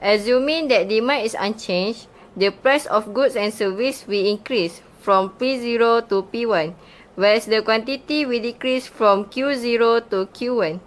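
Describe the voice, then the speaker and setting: A woman's voice: on the high side, moderate pace, close to an everyday voice, rough recording. A woman voicing an agreeable male student puppet in a scripted amateur show. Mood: explaining.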